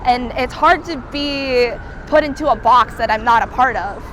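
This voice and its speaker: A woman's voice: high-pitched, moderate pace, background noise. A young woman from California answering interview questions outdoors, speaking in an earnest, candid way.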